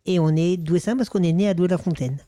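A man's voice: high-pitched, fast, dark tone, no room sound. A man from Maine-et-Loire, a guest in an interview recorded in a village bar, speaking hesitantly with many fillers and restarts. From Maine-et-Loire, France. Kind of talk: casual interview.

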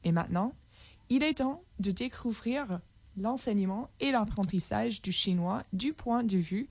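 A woman's voice: medium pitch, expressive, a little slow, a little mellow, dry recording. A woman radio host announcing the station and politely asking a guest questions. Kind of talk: radio interview hosting.

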